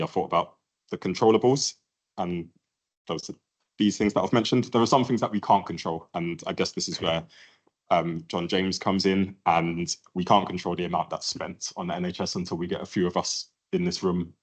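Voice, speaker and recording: Brief: man, low and husky, clinician giving a hesitant slide talk to a room. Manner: halting, many ums and restarts. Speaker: clinician speaker at a patient event. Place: event room with audience.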